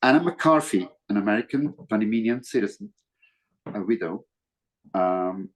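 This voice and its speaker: An older man with a Swiss accent speaks in a lowish voice, formal and earnest. He answers as an interview guest over a video call, pausing often on fillers. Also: lively intonation, rough recording. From Switzerland.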